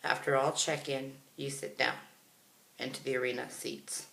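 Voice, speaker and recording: A man's voice: mid-range voice, little pitch movement, moderate pace, gravelly, pushed and bright. An American man telling a casual, rambling story for a vlog, chatty and often correcting himself. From Kansas, United States. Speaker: vlogger. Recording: roomy, echoey sound.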